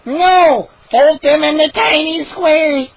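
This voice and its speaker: A woman voicing a mob of gleeful, mischievous little gnomes who chant in shrill, excited voices. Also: takes it slowly, gravelly, muffled recording, heavily acted.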